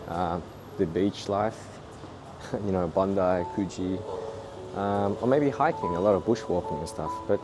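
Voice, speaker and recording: A young man with an Australian accent talks easily to camera, his voice sitting on the low side. He fills his sentences with relaxed ums and uhs. Music plays clearly behind him. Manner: relaxed, laid-back with frequent fillers. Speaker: vlog host. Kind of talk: casual travel vlog chat. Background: background music.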